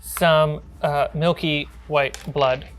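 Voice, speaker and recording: Low-voiced woman running a game. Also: fairly even intonation, slow. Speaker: game master. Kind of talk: narrating a tabletop role-playing game.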